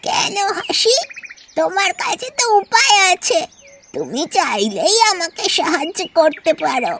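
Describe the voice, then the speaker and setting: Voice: very high voice, wildly swooping pitch, unhurried, noisy background, exaggerated voice. A performer voicing a sly, lazy female crow in a cartoon story, plaintive and wheedling, with caws. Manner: plaintive, wheedling, breaks into cawing.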